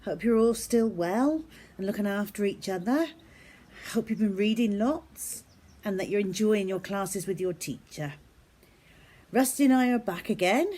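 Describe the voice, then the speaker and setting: A woman's voice: mid-range voice, warm tone. A woman reading a children's story aloud, addressing young listeners simply and directly like a primary teacher.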